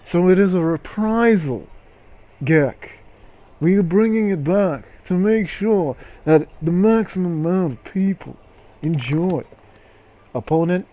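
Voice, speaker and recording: Guy hosting a game stream, dramatic and sweary, kinda slow pace, voice jumps all over. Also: high-pitched, animated, warm tone. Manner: mock-grandiose, dramatic, with muttered asides. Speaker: livestream host. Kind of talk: theatrical game-stream commentary.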